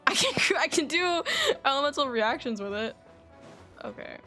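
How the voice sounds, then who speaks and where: A woman's voice: sits high, animated, moderate pace, sharp tone. A woman doing livestream commentary on a game, casually talking through what she is playing, with music behind her. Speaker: game streamer. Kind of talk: livestream gaming commentary mixed with reading dialogue aloud.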